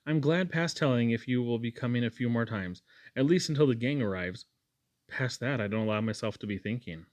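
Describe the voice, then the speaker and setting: A man's voice: medium pitch, a little fast, no echo, natural voice. A man voicing a humble, earnest, shy young working man in a storybook, modest and polite. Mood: wistful.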